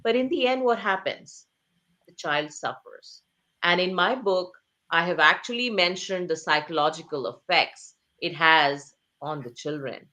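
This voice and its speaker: Earnest woman author, emphatic and low-pitched. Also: measured pace, pushed and bright. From Asia. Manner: earnest, emphatic, rhetorical questions; repeats phrases for emphasis. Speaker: author and interview guest. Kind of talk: conversational interview.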